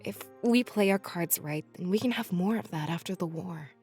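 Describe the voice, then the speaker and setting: Low soft woman playing furry companion in a visual novel, sincere, quiet music under it. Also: moderate pace, lightly acted. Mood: affectionate. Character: tender, earnest furry female companion.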